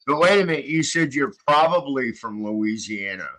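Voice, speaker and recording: Ohio guy hosting a stream, friendly, bright voice, middle pitch. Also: animated, moderate pace, rough recording. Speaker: livestream host. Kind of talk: casual chat.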